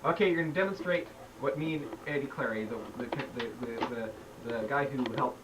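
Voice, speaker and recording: A man's voice: medium pitch, a little fast. Rambling middle-aged man, heard from afar. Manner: rambling, repetitive, excited, stumbling restarts. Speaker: toy collector showing his childhood play. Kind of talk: casual home-video show-and-tell. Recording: distant home-video mic with noise.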